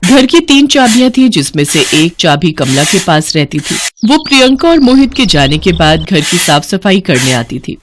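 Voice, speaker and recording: A woman's voice: fairly low pitch, big pitch swings, normal speed, few pauses, noisy background. A woman reading scripted narration as the storyteller of a moral tale.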